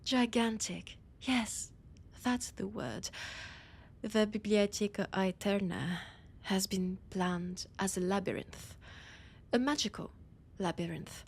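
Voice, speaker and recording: A woman playing a possessive vampire scholar with a French accent, in a theatrical, breathy audio roleplay monologue with dramatic pauses. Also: medium pitch, heavily acted. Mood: proud, ominous. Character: annoyed, possessive female vampire scholar, ancient library guide.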